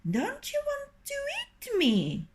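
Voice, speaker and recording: A woman voicing a proud, bossy male rooster in a storybook reading, loud and scornful. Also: extremely high pitch, huge pitch swings, slow, pitched above the performer's own voice, exaggerated voice. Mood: wary.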